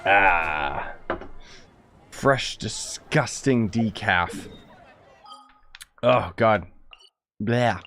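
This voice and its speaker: A man's voice: on the low side, animated, drawn-out words, long pauses, fairly bright tone. Sardonic man livestreaming over faint game music. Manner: sardonic, grumbling.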